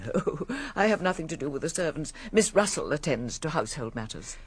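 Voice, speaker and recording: Breathy, aloof genteel lady. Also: low voice, expressive, brisk, dry recording. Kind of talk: radio drama acting.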